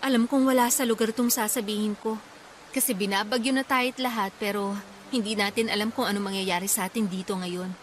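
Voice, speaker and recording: A woman's voice: mid-range voice, fairly even intonation, brisk, slight background noise, lightly acted. An actress playing a frightened, devoted young woman in a Filipino radio drama, speaking with earnest emotion. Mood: earnest, tender. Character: frightened, devoted young woman confessing love amid a storm.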